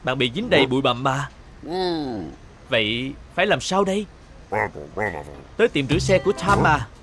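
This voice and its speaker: A man's voice: medium pitch, normal speed, a bit bright. A man narrating a children's cartoon in speech, talking to the characters like a storyteller for young children. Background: music.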